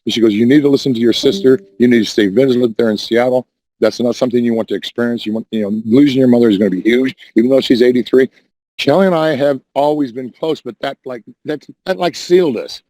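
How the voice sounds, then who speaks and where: A man with a hoarse, worn voice talks on and on, restarting his sentences and defending himself. His pitch sits in the middle, and the sound is dry and close to the mic. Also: fast talker, warm tone, no echo, low-quality recording. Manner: rambling, defensive, repeats and restarts. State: hoarse, says voice is damaged. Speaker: Discord voice chat caller. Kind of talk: rambling casual chat.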